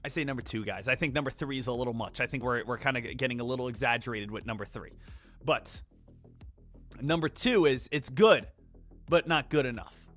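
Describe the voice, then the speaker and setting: Brash, bright-voiced trading streamer. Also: male voice, medium pitch, brisk, soft background music. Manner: brash, joking, crude asides to viewers. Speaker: day trading livestream host. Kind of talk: casual live stream commentary.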